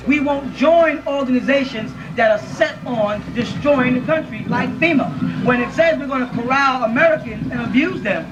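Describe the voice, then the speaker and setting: High, bright-voiced man lecturing from afar. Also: normal speed, few pauses, background noise. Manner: emphatic, keeps checking in with listeners. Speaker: lecturer addressing an audience. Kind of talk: informal lecture. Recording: dull lo-fi audio from a distant mic.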